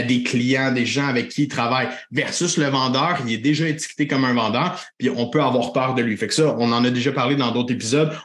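Québécois podcast co-host, lively explainer, mid voice, echoey room. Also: male voice, mid-range voice. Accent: Québécois French. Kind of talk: casual business chat.

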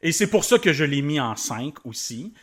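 A man's voice: on the high side, sing-song, moderate pace, a bit bright, breathy edge. A man, chatting on a game panel, rambling and laughing through his picks. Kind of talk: casual chat.

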